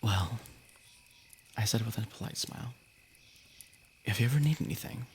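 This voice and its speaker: A man with a gravelly, creaky voice casually reading stories as a livestream host, informal and soft-edged. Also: mid-range voice, normal speed, slight background noise.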